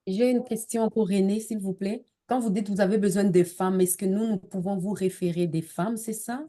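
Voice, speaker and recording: A woman's voice: mid-range voice, steady tone, moderate pace, mellow. A woman participant on a video call asking questions tentatively, searching for words. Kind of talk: questions in an online session.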